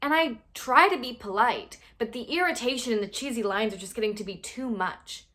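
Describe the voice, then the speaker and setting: A woman's voice: a little high, fairly quick, pushed and bright. A woman performing a comedic monologue as an exasperated young woman, sarcastic and fed up. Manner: exasperated, sarcastic, mocking men's lines.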